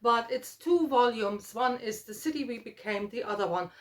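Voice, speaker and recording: A middle-aged woman talking casually to viewers as a book vlogger, in a halting, thoughtful way with trailing asides. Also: on the high side. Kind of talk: casual book chat to camera.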